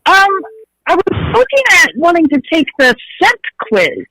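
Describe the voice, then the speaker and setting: A woman's voice: very high voice, big pitch swings, moderate pace, pushed and bright. A woman calling in by phone, asking polite, tentative questions. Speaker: caller. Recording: phone line.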